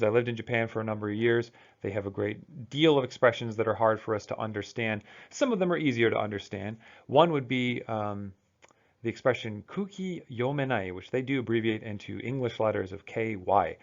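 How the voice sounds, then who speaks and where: A man's voice: fairly low pitch, moderate pace, gravelly, highs cut off. A man with an American accent giving a recorded college lecture, talking in a loose, conversational way with fillers and restarts. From United States. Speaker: college instructor.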